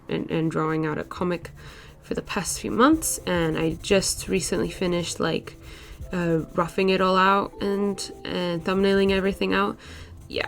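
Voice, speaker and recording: A young woman in her twenties whose first language is Portuguese, chatting casually over a sketching video with lots of "like" and "uh" fillers. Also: medium pitch. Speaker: art vlogger.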